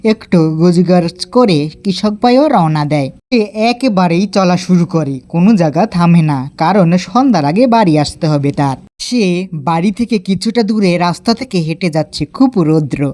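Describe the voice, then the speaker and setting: Slightly low-voiced woman narrating a story. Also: lively intonation, moderate pace, warm tone. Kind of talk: scripted cartoon horror story narration.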